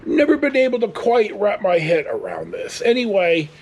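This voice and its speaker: A man's voice: sits high, wildly swooping pitch, normal speed, slight background noise. A middle-aged American man tells rambling casual stories in a blunt, salty way. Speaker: storyteller vlogger.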